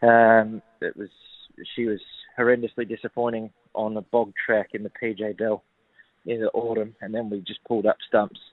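Australian trainer on a tinny phone line. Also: male voice, fairly low pitch, moderate pace, no room sound. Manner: relaxed, plenty of ums and uhs. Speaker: racehorse trainer. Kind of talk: phone interview.

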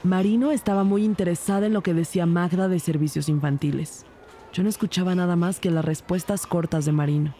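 A woman's voice: on the low side, a little fast, warm tone, slight background noise, lightly acted. A woman playing a detective who narrates her case in a scripted audio drama. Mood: narrating. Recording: clear background music under voice.

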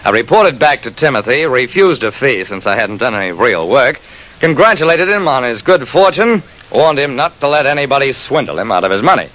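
A man's voice: mid-range voice, very animated, fairly quick, fairly bright tone, poor audio. A man playing a terse, wry private detective who narrates his own case in an old-time radio drama. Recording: vintage radio recording.

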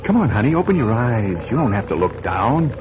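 An excitable young husband played by a man in a radio drama, eager and emphatic. His pitch leaps around in a middle register. The recording is dull, with clear noise. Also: fairly quick, natural voice. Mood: eager, excited. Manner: animated, emphatic.

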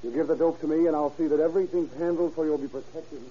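An actor playing a determined, slangy, hard-boiled police sergeant in an old-time radio crime drama. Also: male voice, a little high, steady tone, fast, close to an everyday voice. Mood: persuasive. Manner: slangy, insistent. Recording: muffled, faintly noisy vintage radio.

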